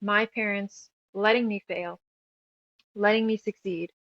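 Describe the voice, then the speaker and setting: Interview guest: woman from New Mexico, mid-range voice, earnest and halting, close dry sound. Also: mid-range voice, fairly even intonation, a bit bright, no echo, highs cut off. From New Mexico, United States. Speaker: interview guest, author and radio show producer. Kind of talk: casual interview conversation, personal reflection.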